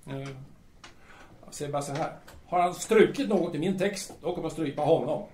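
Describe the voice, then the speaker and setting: In a distant, very echoey recording with faint noise, a man plays a grandiose young male playwright in an audio drama. His voice sits fairly high. He is agitated and theatrical, circling back over his own words. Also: a little mellow, close to an everyday voice. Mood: indignant. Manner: agitated, theatrical, repeats himself.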